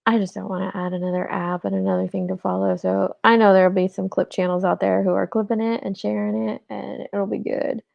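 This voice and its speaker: A congested woman in her forties chats with her live audience, her voice rough and gravelly with a stuffy edge. She rambles easily and laughs between thoughts. The sound is dull and dry, close to the mic. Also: mid-range voice, level tone, fairly quick, few pauses, dry recording. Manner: chatty, laughs often, asides to chat viewers. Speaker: livestream host. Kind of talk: casual chat with live chat.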